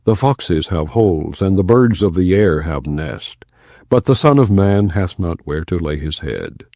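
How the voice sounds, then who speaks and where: A man reading the lines of a grave male teacher who speaks with authority, in a measured, solemn way. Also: sits low, expressive, mellow, no echo, studio quality.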